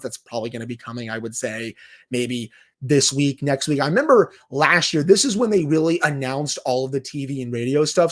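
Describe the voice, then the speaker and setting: A man co-hosting a sports podcast, giving a news rundown in an emphatic, announcer-like delivery. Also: mid-range voice. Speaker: podcast co-host. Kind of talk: sports podcast commentary, scripted-sounding intro and news rundown.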